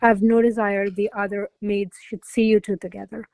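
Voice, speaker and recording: A woman playing a haughty, jealous noble mistress in a classical radio play, speaking in a formal, imperious, measured manner. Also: mid-range voice, level tone, a little mellow, no echo, natural voice. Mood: stern, imperious. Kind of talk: stage-style radio play acting of classical verse.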